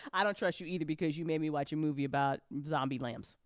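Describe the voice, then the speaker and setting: A woman's voice: low voice, fairly quick, dry recording, clean studio sound. A woman hosting a casual after-show chat, talking in an emphatic, gushing, sweary way. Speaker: host and audio drama writer.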